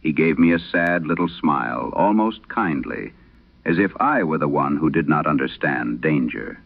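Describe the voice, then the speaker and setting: Deep-voiced old priest played by an actor, grave and haunted. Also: male voice, lively intonation, vocal fry, phone-quality sound, exaggerated voice. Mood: haunted, solemn. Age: older. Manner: solemn, measured storytelling. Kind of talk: dramatic scripted horror radio monologue.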